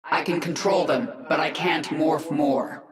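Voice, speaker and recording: A woman's voice: low-pitched, fairly even intonation, measured pace, echoey room. A woman playing a determined, commanding alien warrior queen in a video game, speaking in terse lines. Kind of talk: video game cutscene acting.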